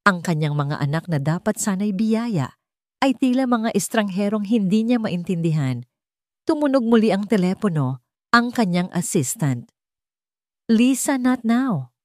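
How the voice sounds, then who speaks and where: A woman's voice: mid-range voice, animated, normal speed, dry recording, studio quality. A woman with a Filipino accent reading a dramatic scripted story as its narrator.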